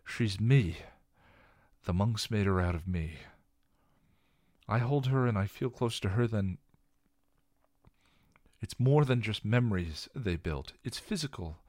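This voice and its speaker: A man voicing a fierce, defiant young woman who speaks forcefully in short, clipped lines. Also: on the low side, lively intonation, a little slow, pauses often, no room sound, clean studio sound, pitched above the performer's own voice. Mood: anguished.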